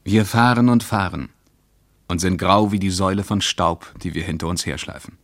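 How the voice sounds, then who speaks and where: A tired traveller reading from his diary in a radio play. His voice is low and measured, moving in short descriptive phrases, recorded close and dry. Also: fairly bright tone, no echo. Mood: weary, observant. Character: weary male traveller reading his journal aloud. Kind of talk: literary radio drama diary narration.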